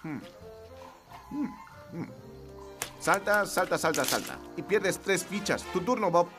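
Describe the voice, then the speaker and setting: Slow, easygoing cartoon animal. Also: male voice, sits high, lively intonation. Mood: idle. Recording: music behind the voice.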